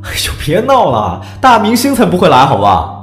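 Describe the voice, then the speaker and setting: A woman's voice: lowish voice, huge pitch swings, normal speed. A woman playing a sceptical, dismissive young former classmate in an audiobook drama. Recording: echoey effect over faint music.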